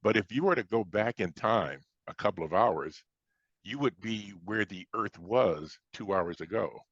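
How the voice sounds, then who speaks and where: Very deep-voiced American man in a roomy recording. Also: expressive, measured pace, fairly bright tone. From Chicago, United States. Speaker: science fiction author being interviewed. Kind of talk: conversational interview. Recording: dull, roomy lo-fi sound.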